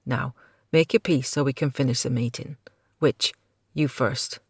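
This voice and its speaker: A reader voicing an exasperated court steward. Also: male voice, mid-range voice, steady tone, a little slow, breathy edge, no echo, studio quality.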